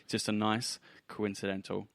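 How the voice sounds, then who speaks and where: Bright, low-voiced man as game master. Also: flat delivery, normal speed, vocal fry, dry recording. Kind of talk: narrating a tabletop role-playing game.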